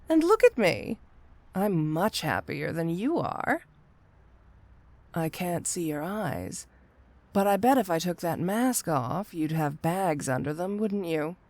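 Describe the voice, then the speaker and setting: Woman playing a slow, sultry flirty supervillainess. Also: on the low side, no room sound.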